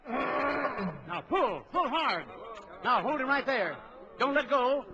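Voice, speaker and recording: An actor playing a bold big-game trapper in an old children's adventure record, briskly instructing and giving orders. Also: male voice, very high voice, very animated, bright, forceful tone, full character voice. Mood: tense, commanding. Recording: dull old record with background crowd noise.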